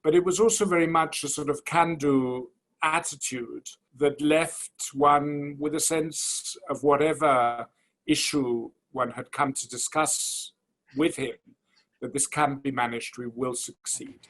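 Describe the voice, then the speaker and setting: A man giving a reflective spoken tribute in measured, articulate phrases. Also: a little high, steady tone, fairly bright tone, low-quality recording.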